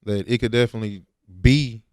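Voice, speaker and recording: A man's voice: medium pitch, steady tone, a little fast, a little mellow, no echo, clean studio sound. A young man, talking casually and slangily as a rapper in an interview. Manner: informal, slangy.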